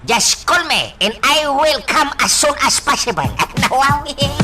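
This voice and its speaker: A woman's voice: high voice, expressive, unhurried, over loud music. A woman playing a sassy, comic household servant with a drawling delivery in an Ilocano radio drama. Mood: sassy, complaining.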